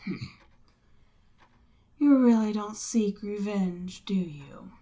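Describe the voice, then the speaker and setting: A seductive Demon Queen, acted by a woman, speaks to her captive in an ASMR roleplay. She takes her time over every phrase, sliding from breathy, medium-pitched speech into whispers. Her manner is smug and coaxing, with the firm command of a ruler. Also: animated, takes it slowly, dark tone. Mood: persuasive, coaxing, then amused, seductive. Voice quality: breathy, often dropping into whisper.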